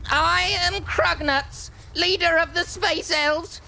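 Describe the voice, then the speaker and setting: A man voicing a villainous male elf leader in a live radio play, theatrical and given to shouted curses. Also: very high voice, steady tone, slow pace, vocal fry, full character voice, rough recording. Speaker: voice actor in a charity-stream radio play.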